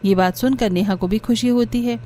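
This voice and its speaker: Mellow-voiced woman narrating a story. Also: a little high, fairly even intonation, fairly quick, slight background noise.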